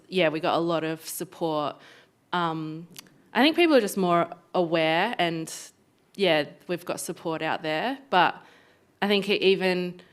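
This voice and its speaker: A woman's voice: low-pitched, clear, resonant tone. A woman answering on a panel, hesitant with many fillers. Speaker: guest business owner. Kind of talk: panel discussion.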